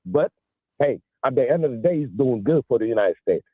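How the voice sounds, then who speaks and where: A sixty-year-old male caller from Senegal, heard over a tinny phone line. His voice is slightly husky and his pitch rises and falls a lot as he insists on his point. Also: expressive, no room sound. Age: 60s. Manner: emphatic, halting, repeats himself.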